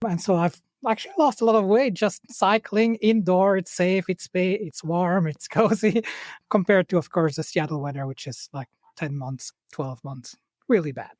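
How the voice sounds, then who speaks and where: A man's voice: high-pitched, normal speed, a little mellow, no room sound, studio quality. A man from Belgium with a Belgian accent, a podcast guest chatting casually and laughing often. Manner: friendly, laughs often, uses many "like" fillers. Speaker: guest, Microsoft community lead. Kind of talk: casual interview chat.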